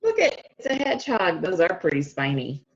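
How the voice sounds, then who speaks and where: A woman reading a picture book aloud to children, expressive and stretching key words, often stopping to ask them questions directly, on a slightly dull lo-fi recording. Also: fairly low pitch, normal speed. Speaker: speech-language pathologist reading to children. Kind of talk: animated children's read-aloud with questions to listeners.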